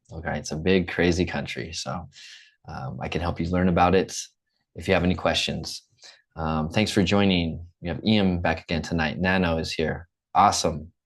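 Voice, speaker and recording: A young American man from the Midwest teaching an English class online, upbeat and checking in often. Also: deep voice. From Midwest United States. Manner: upbeat, repeats and checks in with "okay?".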